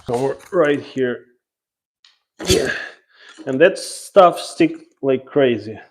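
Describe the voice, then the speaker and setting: Slow-talking man with a Ukrainian accent. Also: mid-range voice, animated, slight background noise. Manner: explains while working, pausing as he handles tools. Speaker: drywall installer, live stream host. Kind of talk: casual live work commentary. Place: laundry room under renovation, indoors.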